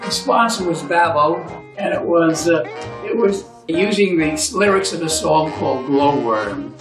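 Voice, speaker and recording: A man's voice: a little high, expressive, unhurried, over music. An older man telling a childhood memory in an interview, hesitant and full of fillers. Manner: hesitant storytelling with many fillers. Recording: echoey, distant room sound.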